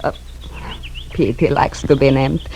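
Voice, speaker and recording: An actress playing a worried, courteous Spanish mother in her sixties, speaking halting, formal English with a Spanish accent in an old radio drama. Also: a bass voice, fairly even intonation, creaky, mellow, a little hiss or hum, heavily acted. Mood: polite, worried. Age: 60s. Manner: halting, formal, searching for words. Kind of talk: 1940s radio drama acting. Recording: old radio broadcast recording.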